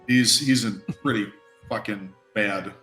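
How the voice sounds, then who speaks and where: Slow-talking game master in an echoey room. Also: male voice, fairly low pitch, pushed and bright. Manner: halting, thinking aloud, casually profane. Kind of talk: improvised narration of a tabletop game. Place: indoor room on a live stream. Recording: roomy echo with music underneath.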